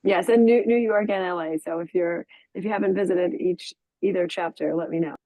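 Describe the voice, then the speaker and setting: A woman's voice: fairly low pitch, brisk, warm tone, no room sound, rough recording. A woman telling a casual anecdote on a video call, chatty and full of fillers. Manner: chatty storyteller, laughing. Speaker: chamber member.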